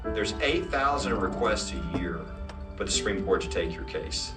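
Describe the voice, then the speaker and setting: Low voiced guy in a doc interview, emphatic, far from mic, loud music playing. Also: lively intonation, moderate pace. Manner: emphatic, repeats himself for effect. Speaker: interviewee in a documentary. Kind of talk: film interview. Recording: echoey, distant, loud music behind.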